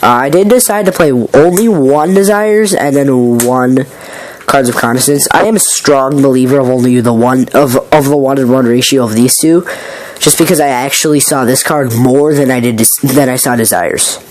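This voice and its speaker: Sick man with a raspy voice. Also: mid-range voice, moderate pace, darkish tone, slight background noise, rough recording. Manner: rambling, filler-heavy, apologetic. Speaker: card game deck presenter. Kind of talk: casual deck walkthrough.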